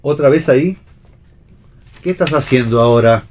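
Baffled actor as husband. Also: male voice, medium pitch, moderate pace, darkish tone, natural voice. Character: Pedro, baffled, plain-spoken husband. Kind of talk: radio theatre reading, dramatic dialogue.

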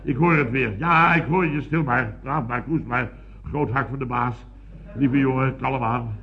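A man's voice: mid-range voice, unhurried, close to an everyday voice. Man acting blustering, sputtering boss in vintage radio comedy. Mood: panicky. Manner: sputtering, pompous, repeats words, mock-solemn exclamations. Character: blustering, excitable male boss of a building firm. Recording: dull vintage radio recording.

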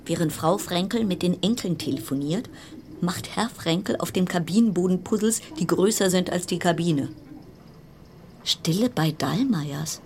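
A woman playing a wry, chatty cruise passenger travelling alone, musing with dramatic pauses in a radio play monologue. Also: on the low side, a little mellow, slight background noise, lightly acted.

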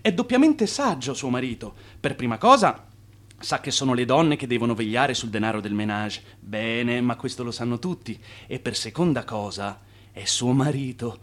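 An actor playing an eager, smooth-talking Italian immigrant hustler in a radio drama, flattering and flowery in his manner. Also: medium pitch, moderate pace, natural voice. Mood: flattering, courtly. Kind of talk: stage-like radio drama acting.

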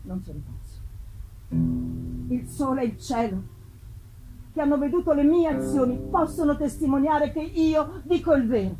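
A woman's voice: high voice, expressive, normal speed, long pauses, music in the background, voice pushed up, rough recording. A woman playing a tormented, guilt-ridden young man in a dramatic gothic novel reading, anguished and emphatic. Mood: defiant, desperate. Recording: clear background ambience noise.

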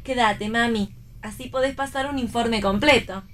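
Blunt young daughter, fairly high voice actress. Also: female voice, normal speed, fairly bright tone, close to an everyday voice. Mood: casual. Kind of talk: read theatre for radio.

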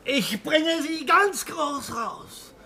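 An actor playing an excitable showman and new father in a radio drama, halting and dramatic. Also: very high-pitched, gravelly, heavily acted. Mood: dreamy, elated.

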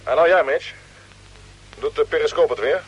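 A man acting a steady spaceship fleet commander in an old radio drama, speaking in a brisk, authoritative way. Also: a little high, breathy edge. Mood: brisk, in control. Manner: brisk, authoritative, giving orders. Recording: muffled vintage radio drama recording.

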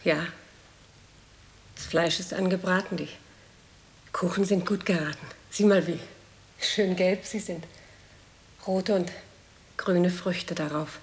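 An actress playing a nervous, apologetic wife in intimate radio-drama dialogue, hesitant and anxious. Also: female voice, fairly low pitch, steady tone, slow pace, long pauses. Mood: subdued.

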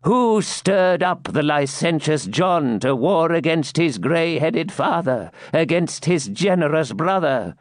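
Man putting on a shrill unearthly spirit, broken voice, slow drawn-out accusations, high pitch. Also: animated, no room sound, studio quality. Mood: accusing.

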